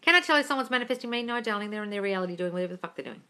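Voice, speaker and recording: A woman's voice: medium pitch, animated, racing, pushed and bright, clean tone. A woman from England hosting a casual livestream, reading viewers' comments aloud and answering them bluntly, calling them darling and swearing freely. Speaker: manifesting coach answering live chat questions. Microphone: phone livestream.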